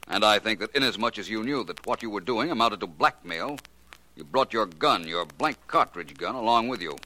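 An actor playing a suave, self-assured private detective in a 1940s radio mystery, speaking with polite, deliberate command. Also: male voice, fairly low pitch, expressive, pushed and bright, rough recording. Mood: probing, assured. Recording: vintage 1940s radio recording.

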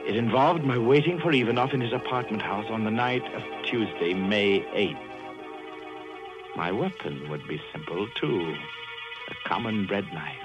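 An actor narrating as a cold, obsessed murderer in a 1940s radio drama, brooding and menacing. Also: male voice, on the low side, normal speed, muffled recording, close to an everyday voice. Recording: music behind old radio recording.

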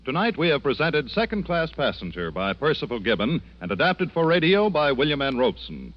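A man's voice: medium pitch, sing-song, a little fast. A man reading scripted announcements in 1940s radio announcer style. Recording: muffled old radio broadcast.

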